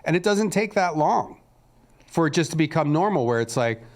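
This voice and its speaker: A man's voice: fairly high pitch, lively intonation, normal speed. A man co-hosting a casual podcast, ranting in a crude, sarcastic way. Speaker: podcast co-host.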